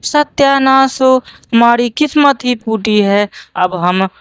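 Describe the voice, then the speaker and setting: A man voicing a hungry, greedy crow husband in a cartoon, whining and scheming in a drawn-out, pleading way. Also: extremely high pitch, a bit bright, clear, resonant tone, no echo, studio quality, full character voice. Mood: dismayed.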